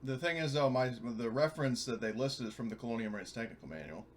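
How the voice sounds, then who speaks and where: A man's voice: mid-range voice, fast. A man chatting casually as a player in a tabletop game, sweary as he checks the rules. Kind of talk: casual game-session chat, checking rulebook.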